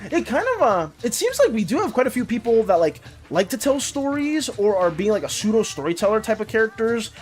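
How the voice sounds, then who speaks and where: A streamer chatting casually with viewers in an animated, rambling way and reacting to chat as it comes in. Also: mid-range voice, brisk, hardly any pauses, faint music behind. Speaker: art and game streamer.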